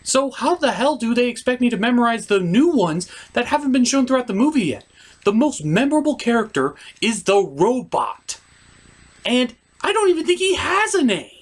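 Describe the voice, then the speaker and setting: A man's voice: very high-pitched, very animated, normal speed. A man delivering a scripted comedic movie recap and critique in a sarcastic, emphatic way. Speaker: comic movie reviewer.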